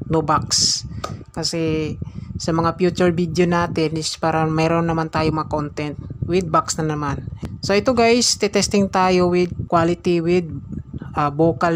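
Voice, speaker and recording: A man's voice: a little high, noisy background. A man in a casual review vlog, explaining a speaker step by step and often calling his viewers "guys", recorded indoors at night. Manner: chatty, explains step by step, keeps saying "guys".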